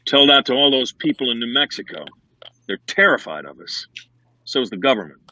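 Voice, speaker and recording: An actor playing a commanding, troubled male superhero leader in an animated script reading. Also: medium pitch, fairly quick, no room sound, lo-fi sound.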